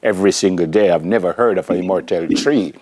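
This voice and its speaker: A man's voice: sits low, fast, a little mellow. A man with a Jamaican accent hosting a morning TV show, asking questions in a chatty, jovial way. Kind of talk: TV interview.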